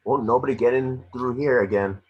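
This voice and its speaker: A man's voice: mid-range voice, a little fast, slightly rough, lightly acted. A man playing a confident, plainspoken rural workman in a read screenplay, on muffled video-call audio. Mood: matter-of-fact.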